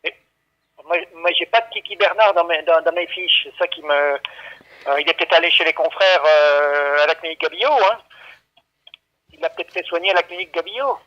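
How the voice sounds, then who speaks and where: Male clinic employee, high-pitched for a man, muffled phone sound; halting, repetitive, patient explanations in unscripted conversation. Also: bright, forceful tone. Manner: hesitant, stammering, repeating himself, patiently explaining. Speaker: veterinary clinic staff taking a call. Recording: dull, lo-fi phone line.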